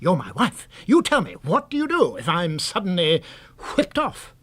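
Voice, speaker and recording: A middle-aged British husband in a radio play. His delivery is theatrical and articulate, with lively swings of pitch. He comes across as excitable and easily aggrieved. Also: moderate pace, slightly rough, full character voice. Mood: indignant, aggrieved. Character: excitable, aggrieved middle-aged husband turned wistful science-fiction duplicate. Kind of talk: radio drama acting.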